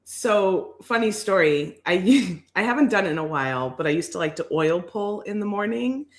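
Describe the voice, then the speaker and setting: A woman with a raspy morning voice chats on a casual craft livestream, and her pitch rises and falls freely. She tells her story in a giggly, easygoing way and laughs often. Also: animated, moderate pace. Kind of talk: casual chat.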